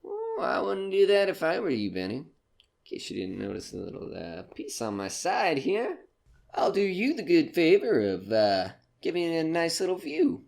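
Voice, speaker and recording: A man's voice: fairly high pitch, huge pitch swings, normal speed, creaky, a little mellow, full character voice. A man playing a falsely friendly, menacing stranger in an audio drama, mock-polite and sing-song. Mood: sly, taunting. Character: menacing, falsely friendly male stranger, oily uninvited guest.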